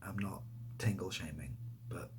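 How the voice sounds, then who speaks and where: Soft low guy doing casual asmr chat, kinda rambly, half whispering, noticeable hiss behind him. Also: normal speed. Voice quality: soft, breathy, often dropping to a whisper. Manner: self-deprecating, rambling and hesitant. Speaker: ASMR video creator and host. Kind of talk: soft-spoken ASMR, casual question-and-answer to camera.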